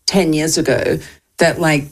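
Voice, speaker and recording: A woman in her thirties, a guest answering interview questions in a measured way, with wry asides and light laughs. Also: sits low, little pitch movement. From Australia. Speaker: guest, app founder.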